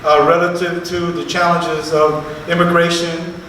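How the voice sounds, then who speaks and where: A man guides a panel with easygoing, conversational remarks. His voice sits a little high. He sounds distant, and the hall rings with a long echo and a faint hiss. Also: level tone, moderate pace, fairly bright tone. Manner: conversational, chatty asides. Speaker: moderator. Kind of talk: panel discussion moderating. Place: museum event space, live audience. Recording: echoey hall from far off.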